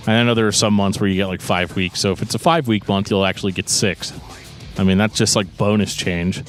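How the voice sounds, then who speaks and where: A man's voice: fairly low pitch, steady tone, brisk. Joking male podcast co-host over music. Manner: joking, rambling explanations. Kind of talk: casual podcast chat.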